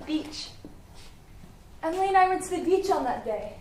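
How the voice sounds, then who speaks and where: Echoey, high-voiced actress as a tender mother. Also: lively intonation, moderate pace, darkish tone. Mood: fond, wistful. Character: tender, forgetful mother devoted to her daughter. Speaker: student actor in a one-act play. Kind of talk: dramatic monologue, reading diary entries. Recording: echoey hall, distant mic, faint noise.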